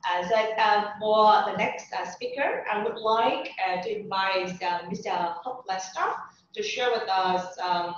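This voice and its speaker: A woman's voice: mid-range voice, measured pace, slight background noise. Woman moderating a webinar, lots of uhs, sounds far off and echoey and muffled. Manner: hesitant, many fillers. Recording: echoey, dull, distant online call audio.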